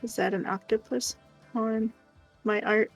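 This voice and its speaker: A woman's voice: fairly high pitch, steady tone, measured pace, creaky. A woman co-hosting a livestream, chatting casually and answering viewers. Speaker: livestream co-host. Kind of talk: casual chat, reading out viewer comments. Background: music.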